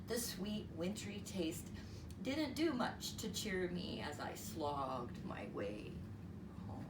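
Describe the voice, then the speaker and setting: A woman reading a story aloud in an expressive, explanatory way, as if to young listeners. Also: fairly low pitch, slow. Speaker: storyteller reading a picture book. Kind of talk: read-aloud story narration. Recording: roomy, distant mic, noisy.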